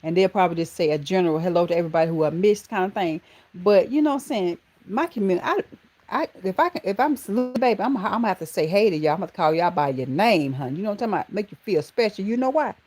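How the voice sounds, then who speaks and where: A woman's voice: fairly low pitch, fast talker. A woman from Georgia with a Southern accent, hosting a casual livestream and greeting viewers by name, calling them baby and honey. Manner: affectionate, calls viewers baby and honey, animated exclamations. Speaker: livestream host.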